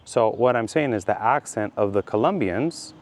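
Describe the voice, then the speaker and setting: A middle-aged man from California with a Californian accent, teaching slowly and deliberately for language learners, repeating his key points. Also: on the low side, animated, a little mellow, a little hiss or hum. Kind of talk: slow explanatory monologue for learners.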